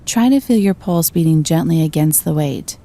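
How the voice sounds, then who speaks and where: A woman narrating a scripted guided meditation in a gentle, calm and soothing manner. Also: low-pitched, normal speed.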